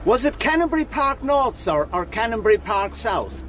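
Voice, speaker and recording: An actor playing an insistent, chatty working-class English taxi driver in a radio comedy drama. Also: male voice, sits high, big pitch swings, moderate pace. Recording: noisy car interior with traffic.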